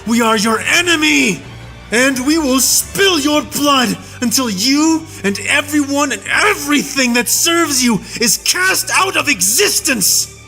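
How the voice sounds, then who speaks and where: A man playing an enraged human soldier, tied up and hurling a breathless, shouted tirade of hatred in an audiobook. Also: high voice, steady tone, unhurried, gravelly, hardly any pauses, soft background music, voice pushed up, heavily acted. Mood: vengeful. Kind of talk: audiobook reading.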